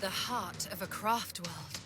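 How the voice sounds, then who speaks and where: A woman's voice: mid-range voice, slow, natural voice. A woman playing an imperious, driven inquisitor in a video game cutscene, with a haughty, commanding, intense delivery. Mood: awed, intent. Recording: roomy echo under loud music.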